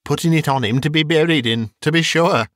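A man voicing a coarse, greedy old charwoman who mocks the dead in brash, quick bursts. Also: mid-range voice, expressive, a bit bright, no room sound, clean studio sound, close to an everyday voice. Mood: scornful.

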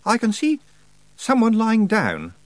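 A man's voice: high-pitched, huge pitch swings, a little slow, no room sound, voice pushed up, exaggerated voice. A man voicing an earnest, nervous young man who talks politely and anxiously in an audiobook. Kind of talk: audiobook character voice.

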